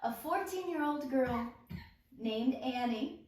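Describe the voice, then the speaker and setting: A woman leads a script table read as narrator, announcing roles and stage directions with deliberate emphasis. Her voice is on the high side and unhurried. The recording is heavily reverberant, with the mic some way off. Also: lively intonation, a little mellow. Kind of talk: reading stage directions and character list aloud. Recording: very echoey room, distant mic.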